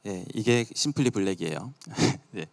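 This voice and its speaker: A man's voice: on the low side, lively intonation, normal speed, creaky, soft background music. A man giving a live product demo at a company event in a conversational way. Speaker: presenter at a company tech event.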